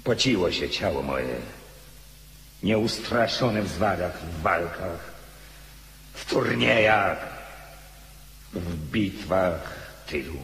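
A man's voice: low voice, animated, a bit bright, slight background noise, exaggerated voice. An actor playing the proud, defiant ghost of a rebel nobleman in a declamatory radio drama style. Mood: anguished, subdued. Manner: declamatory, slow, impassioned. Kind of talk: theatrical radio drama acting. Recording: echoey hall with long reverb.

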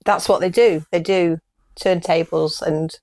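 A woman's voice: on the low side, steady tone, a little slow, a little mellow. A middle-aged British woman chatting casually as co-host of a news show, recorded in a small room indoors. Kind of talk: casual chat.

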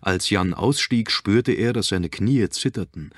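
Male narrator for suspense audiobook, deep register, literary reading, dry booth sound. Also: measured pace, dry recording. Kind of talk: scripted narration of a suspense thriller. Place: dry studio.

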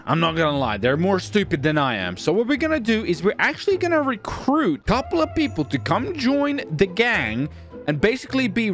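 A man doing casual, cocky and jokey roleplay chat while playing a video game. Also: high-pitched. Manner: excitable, cocky, jokey, swearing. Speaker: streamer and roleplayer.

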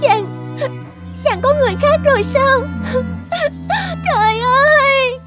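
A lovesick young maiden from a cartoon fairy tale. Her voice is extremely high and tender, full of tearful lament, with music playing clearly behind it. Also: takes it slowly. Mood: anguished, betrayed.

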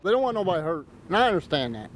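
A man's voice: sits high, sing-song, very fast. A man, a market vendor, talking casually and rambling in an outdoor interview. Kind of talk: street interview.

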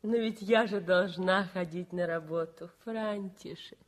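An actress playing a young nurse and loving wife in a 1960s radio play, speaking in a soothing, pleading way. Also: female voice, lowish voice, a little slow, lightly acted.

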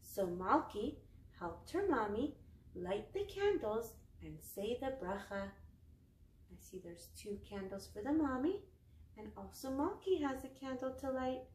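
A woman's voice: on the high side, sing-song, measured pace, darkish tone. A young woman hosting a children's storytime, reading a picture book aloud in simple sing-song phrases. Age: young adult. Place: small living room, seated on a couch. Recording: roomy indoor echo.

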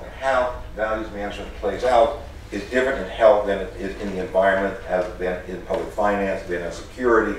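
A man's voice: fairly low pitch, a little mellow, slight background noise. A middle-aged man raised in the Netherlands gives a formal lecture as a professor, speaking earnestly with many restarts. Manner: earnest, halting with frequent restarts. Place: large lecture hall with audience. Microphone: podium microphone. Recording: echoey hall, picked up from a distance.

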